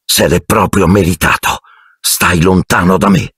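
A man's voice: low voice, fairly even intonation, a little slow, fairly bright tone, breathy edge, no echo, studio quality, exaggerated voice. A man voicing an angry, defiant teenage boy who talks back while breathing hard, in an audiobook. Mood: breathless.

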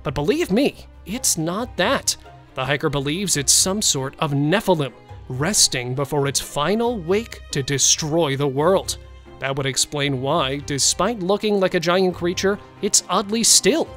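A man narrates a scripted countdown in a slightly higher-than-average voice. He builds suspense and turns to the viewer with rhetorical questions. Quiet music plays underneath him. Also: expressive, a little slow.

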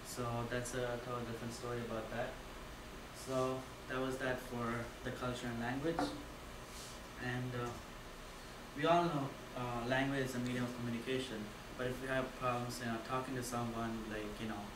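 A man's voice: mid-range voice, flat delivery, normal speed. Young man presenting in an echoey classroom. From India. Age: young adult. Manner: casual, filler-heavy, loose phrasing. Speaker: student presenter. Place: dim classroom with projector. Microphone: far camera. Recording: echoey room from far off with noise.

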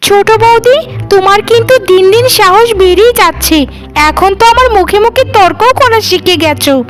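A woman's voice: extremely high pitch, fairly quick, poor audio. A woman voicing an arrogant, spoiled young sister-in-law in a cartoon, scolding and threatening, with music behind her. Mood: indignant. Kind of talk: cartoon voice acting.

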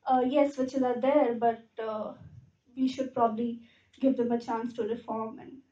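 A young Indian-accented woman lectures informally with measured phrasing. Her voice is high and soft-toned, heard from a distance in a roomy auditorium. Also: flat delivery. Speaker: guest speaker, writer. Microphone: podium microphone, picked up from afar. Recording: roomy, dull, distant hall recording.

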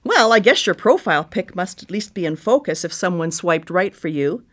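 A woman's voice: fairly low pitch, expressive, moderate pace, lightly acted. A woman in a live radio drama playing a chef and sister with a dry, blunt, teasing way of talking. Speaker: supporting character in a radio drama.

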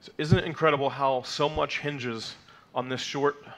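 A man's voice: mid-range voice, fairly even intonation, moderate pace, fairly bright tone, rough recording. Man teaching a Torah portion at a lectern. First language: not Hebrew. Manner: explanatory, frequent fillers and "okay?" check-ins. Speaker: congregation teacher. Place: sanctuary, mid-size indoor hall.

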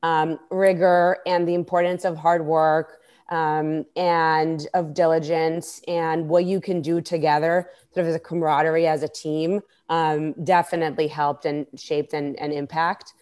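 Low-pitched woman, hesitant interview guest. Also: little pitch movement, measured pace, darkish tone, smooth. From Israel. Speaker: interview guest, startup founder. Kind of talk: conversational interview answers. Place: indoor room, video call. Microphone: earphone mic on video call.

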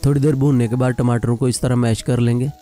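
A man narrating a cooking video voice-over, explaining each step calmly and plainly. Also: medium pitch, fast talker, faint music behind. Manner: calm step-by-step instruction. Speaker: cooking channel host.

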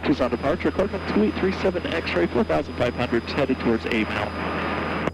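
A man's voice: a little high, lively intonation, normal speed, heavy background noise. An American man, a pilot, making hesitant, clipped radio calls into a headset in a small plane cockpit. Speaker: private pilot. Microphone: aviation headset boom mic. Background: music, aircraft.

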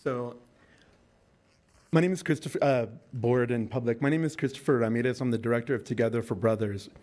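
A man's voice: medium pitch, fairly even intonation, a little fast, darkish tone. A middle-aged man, the director of a nonprofit, gives an earnest off-the-cuff public comment with fillers at a podium microphone in a large council chamber.

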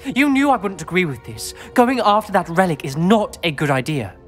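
A man's voice: sits high, animated, moderate pace, soft background music. A young man with a British accent playing an anxious, principled teenage wizard in a game cutscene, grave, precise and reproachful. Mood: accusing, upset. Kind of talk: video game cutscene acting.

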